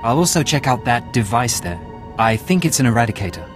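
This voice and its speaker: An actor playing a dutiful young intelligence analyst in a video game, businesslike and deferential. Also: male voice, mid-range voice, animated, fairly quick, over music, natural voice. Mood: earnest, businesslike. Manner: businesslike, deferential, matter-of-fact.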